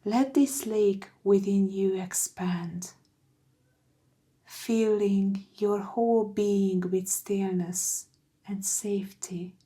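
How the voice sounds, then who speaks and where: A woman guiding a meditation in a soft, soothing, gentle voice with breathy phrase endings. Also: medium pitch, fairly even intonation. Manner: soothing, gentle, unhurried with long pauses. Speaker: meditation guide. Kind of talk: guided meditation narration.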